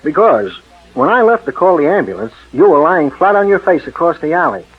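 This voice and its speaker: An actor playing a mild, obliging police official in a 1940s radio drama, polite and hesitant in manner. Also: male voice, fairly high pitch, big pitch swings, fairly quick, breathy edge. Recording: tinny, narrow 1940s radio recording.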